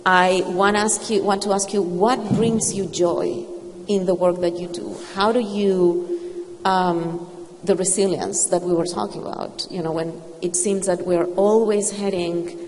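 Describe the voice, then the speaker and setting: A middle-aged woman moderating a panel discussion, asking unhurried, thoughtful questions. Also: lowish voice, fairly even intonation, darkish tone, a little hiss or hum. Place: event hall with audience. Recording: reverberant hall with faint ambience.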